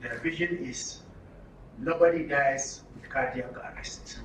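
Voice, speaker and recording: A Nigerian man speaks to a workshop at an unhurried pace, in a bright, forceful voice. He teaches through repetition and questions put to the group. The recording is echoey, as if in a room away from the microphone. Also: medium pitch. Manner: instructive, using rhetorical questions and repetition. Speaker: workshop facilitator. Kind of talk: live workshop talk to a group. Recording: roomy, distant mic with some noise.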